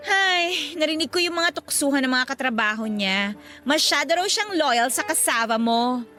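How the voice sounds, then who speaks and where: High, swooping, brash Filipina gossip. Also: female voice, animated, moderate pace, pushed and bright, faint music behind. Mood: resigned, gossipy. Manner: sassy, exclamatory, gossipy. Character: brash, teasing young woman vendor, rival turned matchmaker. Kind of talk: Filipino radio drama dialogue.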